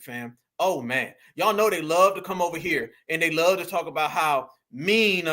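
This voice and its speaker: Brash, bright-voiced mocking commentator man. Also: high voice. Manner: mocking, brash, profane, addresses audience as fam. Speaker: commentary host. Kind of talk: heated reaction commentary.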